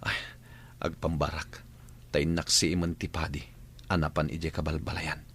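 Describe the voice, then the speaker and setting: Man acting a very deep-voiced sly bandit. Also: little pitch movement, normal speed, fairly bright tone, breathy edge, exaggerated voice. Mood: sly, muttering. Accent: Ilocano. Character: sly male gang member, secretly feigning weakness. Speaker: radio drama actor. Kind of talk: radio drama acting. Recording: noisy ambience behind the voice.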